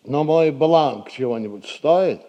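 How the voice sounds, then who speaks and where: An actor playing a pompous, dissolute middle-aged merchant in a Russian stage comedy, speaking in florid, sighing phrases. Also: male voice, fairly high pitch, animated, takes it slowly, warm tone, dull audio. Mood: worried. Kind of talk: 1960s Russian stage comedy acting for audio.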